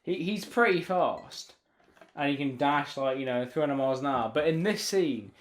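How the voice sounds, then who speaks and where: A man's voice: a little high, lively intonation, normal speed. A man chatting casually on a podcast, arguing his point insistently with plenty of swearing. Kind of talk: loud casual podcast banter.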